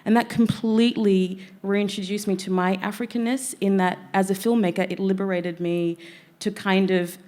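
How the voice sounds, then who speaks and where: A woman's voice: mid-range voice, level tone, a little mellow. A woman in her thirties, raised in Zambia, moderating a panel discussion with reflective, conversational talk. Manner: reflective, conversational, quick bursts of speech.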